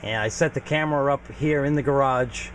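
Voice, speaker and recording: A man's voice: medium pitch, brisk, a little hiss or hum. A man giving a casual, informal equipment walkthrough as a hobbyist video host, explaining things plainly. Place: home garage.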